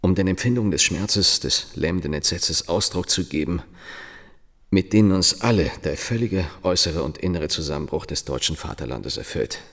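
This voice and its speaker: A grave monarchist commentator in a radio play. The voice is deep and measured. He declaims formal prose with solemn indignation. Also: expressive, fairly bright tone, full character voice. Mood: grave, indignant. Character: grave, indignant male monarchist reading out a newspaper article. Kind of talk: formal read-aloud in a radio drama.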